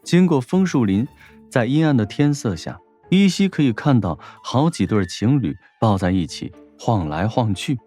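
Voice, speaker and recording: A man's voice: medium pitch, very animated, moderate pace, warm tone, soft background music. A man narrating a scripted audiobook story in a wry storytelling manner.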